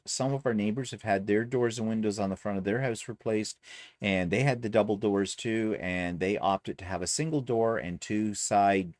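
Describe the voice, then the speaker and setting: Man from canada, chatty rambling vlog talk, lowish voice, dry home sewing room. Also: a little mellow, dry recording. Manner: chatty, rambling, self-deprecating asides. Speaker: quilting vlogger. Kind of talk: casual vlog chat.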